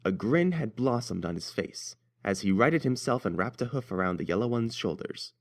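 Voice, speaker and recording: A man's voice: medium pitch, expressive, normal speed, gravelly. A man narrating a scripted story as its reader.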